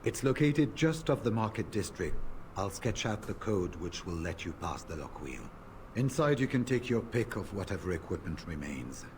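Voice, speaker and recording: A tired veteran warrior in a fantasy game, really deep, solemn, bit wry, noisy background. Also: male voice, big pitch swings, moderate pace. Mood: matter-of-fact. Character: weary, grave veteran warrior of an order. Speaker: game character. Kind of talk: fantasy video game voice acting.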